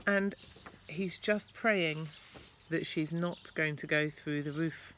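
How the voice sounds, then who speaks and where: A woman's voice: deep voice, takes it slowly, fairly bright tone. A woman reads a storybook to children expressively, adding chatty asides and questions to young listeners. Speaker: primary school staff member reading to children. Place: at home, apparently outdoors in garden. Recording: clear background noise, amateur home recording.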